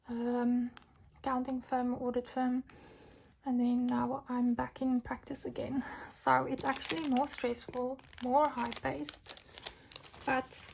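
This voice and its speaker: A young adult woman from South Africa, husky as she recovers from illness, chatting casually and rambling with fillers while crafting at home. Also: sits high. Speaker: diamond painting vlogger. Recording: home recording with craft crinkling noise. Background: crinkling packets and clicks.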